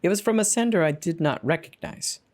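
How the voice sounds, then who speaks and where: A narrator giving an expressive, dramatic first-person reading of a horror story. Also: mid-range voice, a little fast. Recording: background music behind the narration. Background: music.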